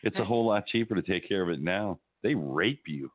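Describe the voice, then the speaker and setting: A man's voice: low-pitched, lively intonation, a little fast, narrow, tinny audio. A man chiming in with wisecracks during a casual radio chat. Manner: wisecracking from the side. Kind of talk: casual banter.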